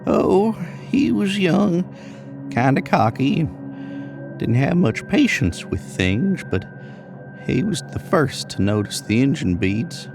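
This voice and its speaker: A man's voice: a little high, sing-song, slow pace, creaky, music in the background, heavily acted. A man voicing an older, rambling American cowboy in an audio horror story, with a folksy, drawling manner. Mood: patient, mysterious. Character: older rambling cowboy spinning a spooky trail tale.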